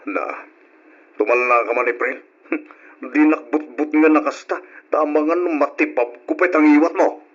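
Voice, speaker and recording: Flustered, stammering cheating husband, husky. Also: male voice, on the high side, steady tone, moderate pace, pauses often. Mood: evasive, nervous. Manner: stammering, stalling. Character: evasive, flustered two-timing husband caught out. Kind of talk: Ilocano radio drama acting. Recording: dull old radio drama recording.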